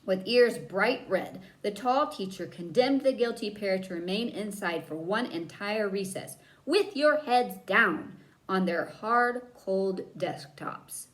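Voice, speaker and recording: A woman reading a children's book aloud as a storyteller, with dramatic emphasis and drawn-out key words. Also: medium pitch, expressive. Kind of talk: expressive read-aloud narration for children.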